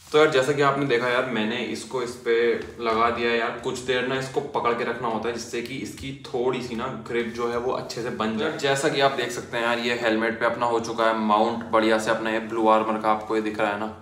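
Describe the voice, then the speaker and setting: Young male vlogger, bright casual delivery, echoey small room. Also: medium pitch, level tone, brisk, hardly any pauses, a little hiss or hum. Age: young adult. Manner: chatty, addresses viewers as bhai and yaar. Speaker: motovlogger. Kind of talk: casual product demo. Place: small indoor room at a desk.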